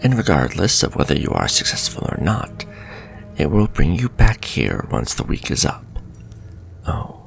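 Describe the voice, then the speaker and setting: A man's voice: extremely low pitch, very animated, faint music behind, exaggerated voice. A man playing a sly, sadistic, flirtatious warlock in an ASMR fantasy roleplay, murmuring breathily and taunting his listener. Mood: smooth, calculating. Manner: slow, taunting, breathy murmur with soft chuckles. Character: sly, sadistic, flirtatious male warlock striking a cruel bargain.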